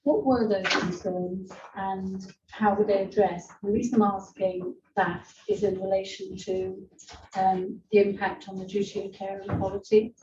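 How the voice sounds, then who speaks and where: A woman board member posing methodical questions in a formal meeting, citing page numbers and pausing with "um". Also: mid-range voice, level tone. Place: meeting room. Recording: distant echoey muffled mic with faint noise.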